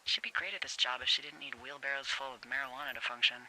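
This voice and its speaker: A woman playing a wry, flirty, warm-hearted veteran fire lookout in a video game, talking casually and teasingly over a walkie-talkie. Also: extremely low pitch, fairly even intonation, fast, full character voice. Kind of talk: naturalistic game dialogue. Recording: clearly noisy game ambience behind.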